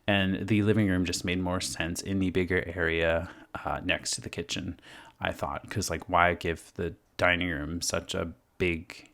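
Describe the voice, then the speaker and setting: A man with a hoarse voice after being sick, giving a rambling, casual voice-over commentary full of fillers as a gaming creator. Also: deep voice, steady tone, a little slow, fairly bright tone. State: hoarse from illness.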